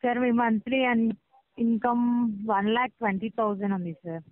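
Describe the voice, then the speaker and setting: Fast-talking woman on a thin phone line. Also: a little high, no echo. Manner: polite, persistent, halting, repeatedly says sir. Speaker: loan recovery agent. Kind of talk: debt collection phone call. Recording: thin, band-limited phone call recording.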